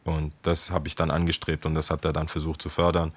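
A young man with a very low, deep voice tells stories in a loose, chatty way. The sound is close and dry, with no room around it. He laughs now and then while he talks. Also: monotone, a little fast, husky, no room sound. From Ghana. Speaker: guest, skate shop worker. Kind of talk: casual interview storytelling.

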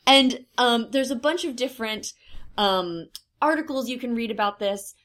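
A woman in her thirties co-hosting a podcast in casual chat, with a lower-pitched voice that slips into vocal fry and a thoughtful, hesitant way of talking with many ums. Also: moderate pace, a bit bright. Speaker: podcast co-host.

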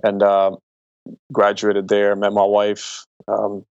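A man's voice: on the low side, monotone, takes it slowly, darkish tone, no room sound, highs cut off. A man in his forties from Croatia, talking conversationally about himself as an interview guest. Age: 40s. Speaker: podcast guest, entrepreneur and speaker. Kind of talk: conversational interview, personal storytelling.

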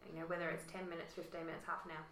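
A woman host with a croaky voice, chatting warmly and using lots of fillers. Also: on the low side, level tone, rapid-fire, distant mic, slight background noise. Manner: chatty, lots of "you know". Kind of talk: casual discussion. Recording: roomy echo.

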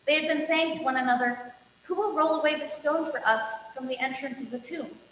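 A woman reading scripture aloud as a lector, measured and declarative, with emphatic openings to phrases. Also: sits high, a bit bright. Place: large reverberant indoor space. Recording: echoey reverberant hall, dull, faint noise.

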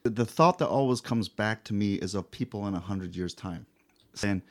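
Lowish-voiced Scottish man hosting. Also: moderate pace. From Scotland. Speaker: podcast host. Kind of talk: casual chat interview.

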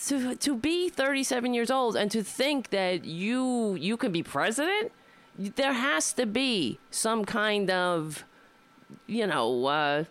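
A woman's voice: on the high side, lively intonation, takes it slowly. Rambling wry woman in her fifties. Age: 50s. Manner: rambling, wry, swears freely. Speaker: comedian and show host. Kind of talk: casual monologue.

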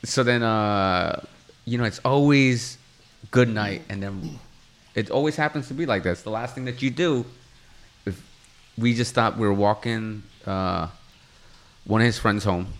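A man's voice: fairly low pitch, unhurried, pauses often. A man from Mexico, Spanish his first language, with a slight lisp on th sounds, telling a story casually with fillers and chuckles. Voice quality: trouble with th sounds. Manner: laid-back storytelling with frequent fillers and chuckles. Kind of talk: casual chat.

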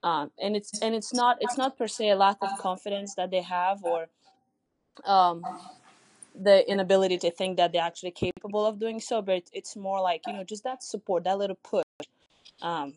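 A woman's voice: mid-range voice, steady tone, normal speed, a little mellow, no room sound, poor audio. A young woman, a tennis coach whose first language is Russian, answering interview questions in a thoughtful way with many fillers and restarts. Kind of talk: podcast interview guest.

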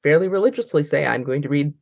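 Creaky-voiced American woman, rambling as she explains. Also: low voice, animated, quick pace, dry recording, studio quality. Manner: rambling, trailing off mid-thought. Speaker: interview guest, librarian and trainer. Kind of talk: casual interview talk.

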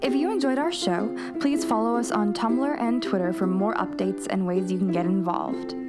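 A woman's voice: medium pitch, moderate pace, over loud music. A woman from Massachusetts with a New England accent, hosting a casual podcast chat, blunt and swearing freely. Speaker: podcast host.

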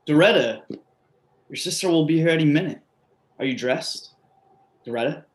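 A man playing a quiet, simple middle-aged man who is patient and reassuring in a play reading. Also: on the high side, moderate pace, lightly acted. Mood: concerned. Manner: gentle, reassuring.

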